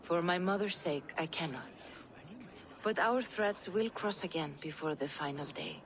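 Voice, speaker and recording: A woman acting a solemn, mystical Norse seeress in a game cutscene, hushed and cryptic. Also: on the low side, unhurried, lightly acted. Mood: solemn, foretelling.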